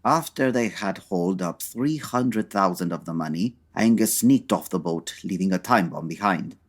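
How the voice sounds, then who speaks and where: Low, husky French bodyguard. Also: male voice, expressive. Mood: formal, explanatory. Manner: formal, measured. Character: formal, guarded Frenchman working as a bodyguard.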